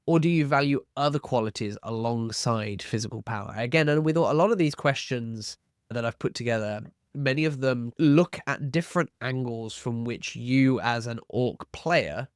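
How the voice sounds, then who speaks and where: A man hosting a tabletop roleplaying tips video, explaining in a measured, semi-scripted way and stressing key terms. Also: mid-range voice, clean tone, no room sound, studio quality.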